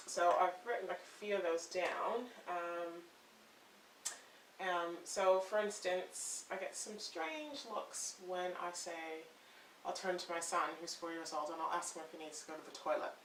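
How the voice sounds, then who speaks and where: Low-voiced Canadian-born woman vlogging at home. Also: a bit bright, reverberant, far from the mic, slight background noise, poor audio. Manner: chatty, with frequent ums. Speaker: mom blogger. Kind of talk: casual vlog talk to camera. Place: room at home, indoors.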